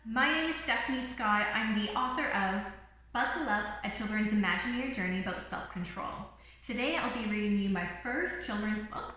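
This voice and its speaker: A woman's voice: mid-range voice, moderate pace, sharp tone. A woman author introducing her own children's book for a read-aloud to young listeners. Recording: roomy, echoing room sound.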